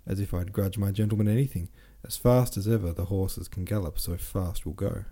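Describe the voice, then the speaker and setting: A man's voice: sits low, steady tone, fairly quick, dark tone. A man voicing a cheeky, deferential peasant coach driver in his twenties from a novel, boastful in his storytelling. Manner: respectful address, boastful storytelling.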